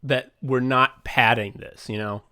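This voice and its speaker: Rambling, swearing podcast host. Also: male voice, medium pitch, steady tone, unhurried, sharp tone, clear, resonant tone. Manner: rambling, swears often, many restarts and fillers. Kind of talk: casual podcast chat.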